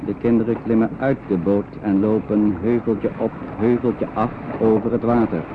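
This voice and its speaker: An actor narrating a radio play as a grave storyteller, measured and literary. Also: male voice, fairly low pitch, level tone, natural voice. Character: grave male storyteller recounting strange dream scenes. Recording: tinny, noisy old radio play recording.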